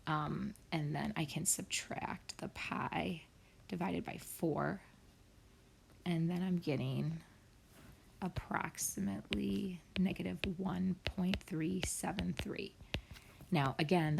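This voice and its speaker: Low, gravelly woman teaching math. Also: a little hiss or hum, low-quality recording. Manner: explains step by step, often drops to a whisper. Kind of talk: recorded screen lesson for students.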